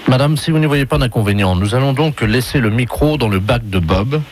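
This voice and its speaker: A man making a prank phone call, speaking in a polite, official, deadpan manner. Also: mid-range voice, brisk. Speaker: prank caller posing as aquarium official. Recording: phone prank recording with faint noise.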